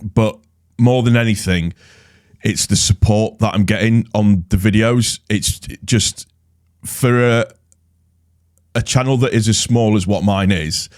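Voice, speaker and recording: A man's voice: on the low side, darkish tone. A man with a cold, talking casually and rambling through his football fan channel, exasperated with rival fans. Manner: chatty, rambling, exasperated when mocking rivals. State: stuffy with a cold. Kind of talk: casual solo vlog commentary.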